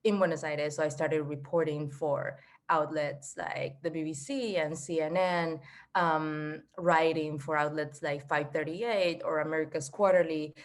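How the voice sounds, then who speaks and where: A woman in her twenties from Argentina, telling the story of her career in a steady, fluent way over a video call. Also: low-pitched, measured pace. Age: 20s. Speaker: journalist guest. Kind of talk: casual career talk.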